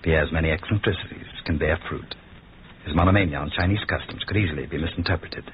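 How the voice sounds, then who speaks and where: A man playing a scheming, smooth-talking lawyer with an insinuating, persuasive manner, in 1940s radio drama style. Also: extremely low pitch, fast. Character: scheming, smooth-talking lawyer plotting against his brother. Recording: muffled, tinny, noisy old radio recording.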